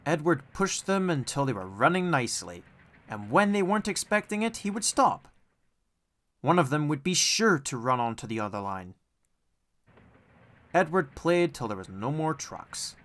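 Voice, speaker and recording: A man's voice: a little high, sing-song, moderate pace, a bit bright. A man reading scripted children's storybook narration as an audiobook narrator.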